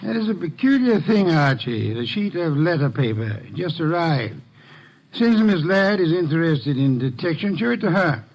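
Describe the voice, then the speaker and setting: An actor playing an imperious genius detective in an old-time radio drama, speaking in a pompous, precise, curt manner. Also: male voice, on the high side, very animated, normal speed, darkish tone, muffled recording. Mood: dry, dismissive. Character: imperious, dry genius private detective, a mature man. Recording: vintage radio drama recording.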